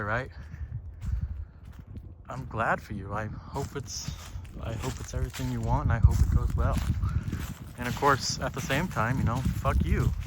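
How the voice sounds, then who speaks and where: A man thinking aloud in a halting, casual, reflective monologue while walking outside on a trail. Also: medium pitch. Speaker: solo vlogger. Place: outdoors, walking on a trail by a lake. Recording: outdoor ambient noise.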